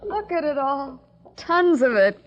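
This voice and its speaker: An anxious young survivor mother, speaks in a fairly high, earnest, theatrical voice. It is old radio drama, on a noisy, crackly vintage recording. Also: sing-song, measured pace, highs cut off. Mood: uneasy wonder.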